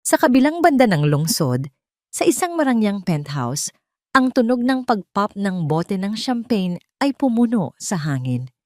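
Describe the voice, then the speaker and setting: A woman's voice: medium pitch, very animated, measured pace, no echo, studio quality. A woman with a Filipino accent delivering a dramatic scripted story narration.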